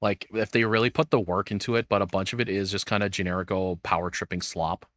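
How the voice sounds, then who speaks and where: A man's voice: low-pitched, fairly even intonation, quick pace, fairly bright tone, dry recording, clean studio sound. A man giving casual reaction commentary in a rambling, sweary, exasperated way. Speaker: streamer reacting to a video essay.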